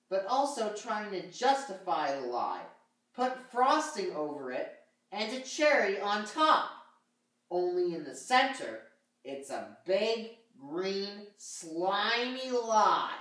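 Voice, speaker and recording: A woman voicing Harry, a knowing, patient male sock puppet who explains things in a measured way on a children's show. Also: mid-range voice, sing-song, fairly bright tone. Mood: instructive. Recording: very echoey room, slightly distant.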